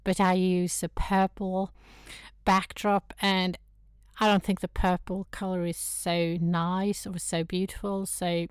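A woman with a Swedish accent chatting as an interview guest, searching for words in halting English. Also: fairly low pitch, little pitch movement, smooth, no room sound, studio quality. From Sweden. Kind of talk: casual interview chat.

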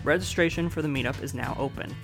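A man's voice: a little high, fast talker, vocal fry, over music. A man with an American accent hosting a podcast, moving between scripted introductions and casual interview chat. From United States. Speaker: podcast host.

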